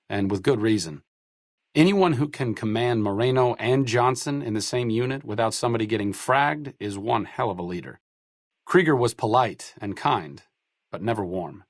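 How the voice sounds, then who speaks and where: A man's voice: fairly low pitch, husky, no echo. A man playing a wry, educated, idealistic doctor-researcher in a post-apocalyptic video game, articulate and sardonic. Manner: dry, articulate, sardonic, self-deprecating. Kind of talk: video game companion dialogue.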